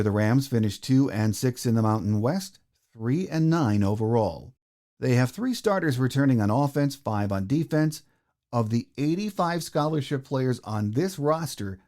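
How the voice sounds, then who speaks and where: Mellow American man hosting a sports podcast. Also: mid-range voice. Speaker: podcast host. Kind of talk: sports podcast hosting, game preview notes.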